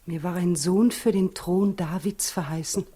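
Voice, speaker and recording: A young mother in a 1960s radio play. Her is earnest and questioning, her voice mellow and somewhat low, with a breathy husk. She sounds trusting yet quietly proud. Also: measured pace. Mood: anxious, reluctant. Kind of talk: 1960s German radio drama acting.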